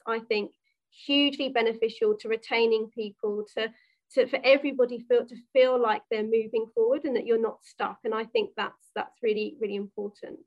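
Higher-voiced woman on a muffled line. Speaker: panelist, team leader. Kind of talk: panel discussion.

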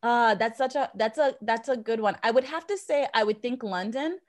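A woman's voice: fairly high pitch, normal speed. A woman with an American accent speaking as an interview guest, telling personal stories conversationally and repeating phrases for emphasis. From born in Jamaica, grew up in Boston, USA. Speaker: podcast guest, anthropologist and professor.

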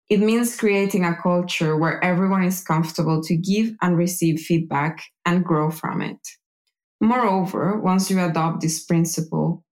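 A woman reading scripted narration in a measured, explanatory way, as an engineering manager presenting. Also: lowish voice, darkish tone. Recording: music behind the voice. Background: music.

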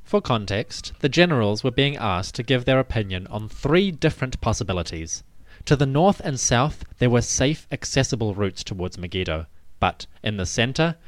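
A man's voice: mid-range voice, big pitch swings, moderate pace, no room sound. A man narrating scripted history as a dramatic, stately and emphatic storyteller. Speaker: host and narrator.

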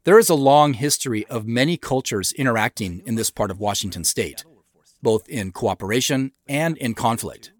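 A man giving scripted director's commentary in a measured, explanatory manner into a close mic. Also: medium pitch, lively intonation, no room sound. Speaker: show creator and commentator.

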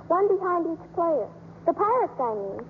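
Eager, very high-voiced little girl. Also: sing-song, normal speed, full character voice. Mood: earnest, polite. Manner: eager, innocent, polite. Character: earnest eight-year-old orphan girl who sees angels. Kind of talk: 1950s radio drama acting. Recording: muffled, noisy old radio broadcast.

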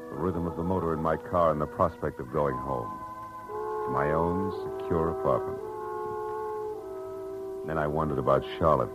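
A man's voice: low voice, low-quality recording. An American actor playing a weary, wry hard-boiled male private detective, terse and slangy in 1940s radio style. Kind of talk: 1940s radio acting with first-person narration. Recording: old radio drama recording with music.

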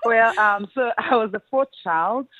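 A middle-aged woman from Nigeria with a Nigerian accent, chatting as a guest in a relaxed interview. She laughs often and talks with many fillers and restarts. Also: fairly high pitch, measured pace, no room sound. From Enugu State, Nigeria. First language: Igbo. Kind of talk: casual conversational interview. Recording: tinny phone-like line, dry.

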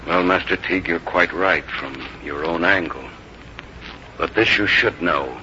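An actor playing a grave, resolute army commander, speaking in an earnest, oratorical way in 1950s radio drama style. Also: male voice, deep voice, a little slow, pushed and bright, full character voice. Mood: stern, measured. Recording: muffled, noisy 1950s radio recording.

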